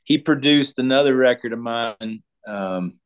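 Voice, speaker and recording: A singer-songwriter from Colorado, a man, answering interview questions in a laid-back, halting way with many ums. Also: medium pitch, clean tone. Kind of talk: casual interview chat.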